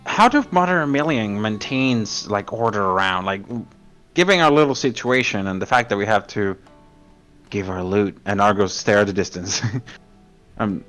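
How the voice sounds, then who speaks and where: A man playing a tabletop game, chatty and quick to laugh. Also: lowish voice, expressive, a bit bright, faint music behind. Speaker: player. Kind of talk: casual tabletop game chat.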